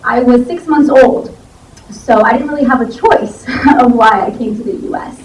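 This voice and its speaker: A young woman with a fairly high voice tells her own story at a church symposium, talking casually and filling pauses with um and you know. She is heard from some distance in a roomy, echoing hall, with a faint hum behind her. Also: a little mellow. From Ecuador, raised in Miami. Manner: conversational, many fillers. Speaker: law student speaker. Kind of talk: personal testimony at a symposium. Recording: echoey church hall from far off.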